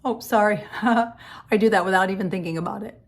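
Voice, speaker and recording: Woman, hoarse, scratchy from a cold, chatty makeup tutorial, home office. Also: mid-range voice, fairly quick. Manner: chatty, friendly step-by-step explaining. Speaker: makeup vlogger.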